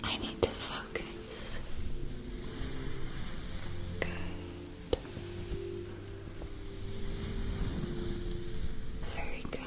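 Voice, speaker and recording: A woman acts out a mystical wand shop keeper in an ASMR roleplay. She speaks in a soft, breathy whisper, slowly and formally. Her manner is courteous, exacting and a little mysterious. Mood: focused, approving.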